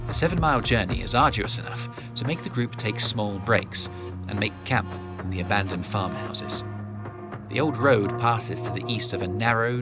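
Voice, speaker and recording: A man narrating a fantasy audio drama from a script, with a measured storytelling delivery. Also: fairly low pitch.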